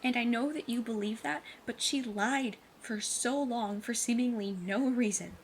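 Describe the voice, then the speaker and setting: A woman playing a plucky, earnest fifteen-year-old witch's apprentice in an audio drama, with a husky voice and formal, precise wording. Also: on the high side, normal speed, a little hiss or hum, lightly acted, rough recording. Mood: guarded, resolute. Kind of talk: scripted audio drama acting.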